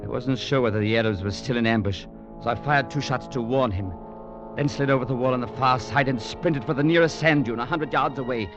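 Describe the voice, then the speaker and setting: Actor as grave young soldier, muffled old radio. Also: male voice, mid-range voice, close to an everyday voice. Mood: somber, tense. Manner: earnest, measured, formal storyteller. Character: grave young English legionnaire recounting his brothers' tragedy. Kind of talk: 1940s radio drama acting and narration. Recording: muffled 1940s radio recording with music.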